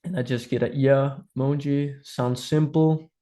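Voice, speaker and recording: A man's voice: medium pitch, steady tone, unhurried, mellow. A man with a Danish accent presents a live online training in an informal, explanatory way, often checking in with listeners. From Denmark.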